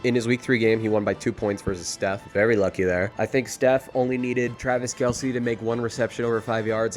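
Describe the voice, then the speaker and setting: Young male vlogger, bouncy pitch, rambling and excitable, jokes at his own expense. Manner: hyped, rambling, self-mocking, excitable play-by-play. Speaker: fantasy football league vlogger. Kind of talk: casual rambling recap.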